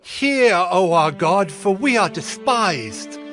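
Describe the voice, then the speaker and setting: A man's voice: high-pitched, sing-song, slow, fairly bright tone. A man narrating scripture from a script in an earnest, declamatory dramatic style. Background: background music.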